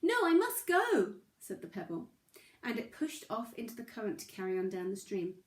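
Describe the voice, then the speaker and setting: A woman reading a children's story aloud in an expressive, dramatic way. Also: mid-range voice, brisk. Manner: animated, dramatic. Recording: echoey room.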